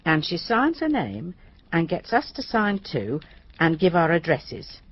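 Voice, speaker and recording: An actress playing a deferential, anxious widowed cleaner, confiding hesitantly in a radio drama. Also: female voice, fairly low pitch, sing-song, unhurried, breathy edge, dull audio, exaggerated voice.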